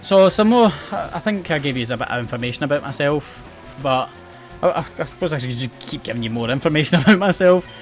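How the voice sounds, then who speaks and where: A man's voice: a little high, lively intonation, fast talker, hardly any pauses. Chatty west coast Scottish man. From Scotland. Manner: chatty, rambling, self-mocking, often restarts words and laughs. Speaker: gaming channel host. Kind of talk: casual game commentary. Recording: game music playing faintly behind.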